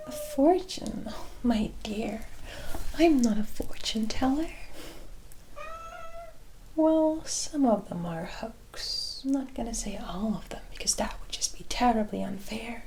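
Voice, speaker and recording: A woman playing a sly, mysterious witch in a whispered, breathy ASMR fantasy roleplay, teasing and deliberate as she addresses the listener. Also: on the high side, sing-song, mellow, a little hiss or hum, low-quality recording. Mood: proud, intriguing. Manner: slow, deliberate, coy, theatrical, addressing the listener as "my dear".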